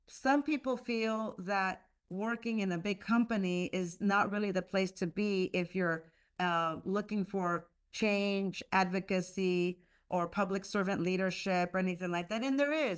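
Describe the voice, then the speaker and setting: A woman's voice: medium pitch, steady tone, normal speed, smooth. A woman who grew up speaking Spanish, answering interview questions earnestly over a video call, with many fillers and restarts. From United States. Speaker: leader of a leadership institute, guest.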